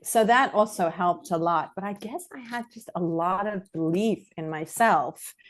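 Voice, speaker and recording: A woman's voice: lowish voice, measured pace. Chatty rambling woman from South Africa. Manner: chatty, rambling, many fillers and restarts. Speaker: guest filmmaker. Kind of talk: casual interview chat.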